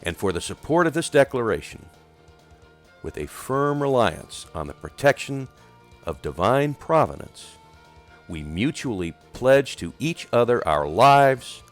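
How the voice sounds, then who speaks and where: A man reading a formal historical document as a solemn, oratorical recitation, with deliberate phrasing and emphatic swells that fall away at phrase endings. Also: medium pitch, very animated. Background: music.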